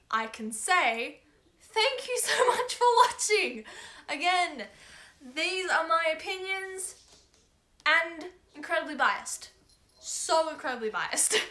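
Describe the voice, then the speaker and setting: A young woman reviewing anime from her bedroom, rambling and laughing often, her voice hoarse after losing it. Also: sits high, sharp tone. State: hoarse, has lost her voice. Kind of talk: casual video review. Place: bedroom at home.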